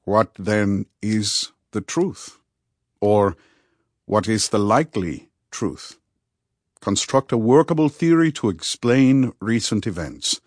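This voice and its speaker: A man voicing a thoughtful, probing male therapist and devoted friend in an audiobook, speaking in a measured, earnest, deliberate way. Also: fairly low pitch, lively intonation, dry recording, clean studio sound. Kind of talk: audiobook character dialogue.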